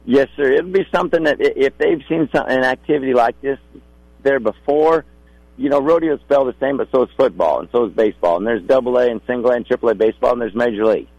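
Middle-aged male rodeo man for radio interview. Gravelly, husky, courteous and folksy. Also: mid-range voice, fast, darkish tone, no echo, highs cut off. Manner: polite, folksy. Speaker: rodeo contractor guest.

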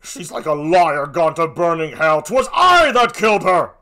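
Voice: medium pitch, very animated, unhurried, bright, forceful tone. A performer voicing a jealous, commanding and anguished husband in a declamatory, theatrical Shakespearean stage reading. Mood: furious. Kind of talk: classical Shakespearean stage acting.

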